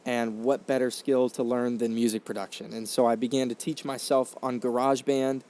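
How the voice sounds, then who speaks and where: Brief: man, 20s, podcast host, earnest and reflective, mid-pitched voice, talks through restarts, condenser mic. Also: little pitch movement, moderate pace, a little mellow. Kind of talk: casual storytelling monologue. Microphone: condenser microphone.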